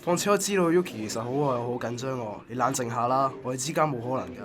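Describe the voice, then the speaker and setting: Man playing a fast-talking, exasperated rejecting man. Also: medium pitch, crowd noise, close to an everyday voice. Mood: firm, exasperated. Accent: Hong Kong Cantonese. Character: firm man rejecting an obsessive admirer. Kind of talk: amateur radio drama acting.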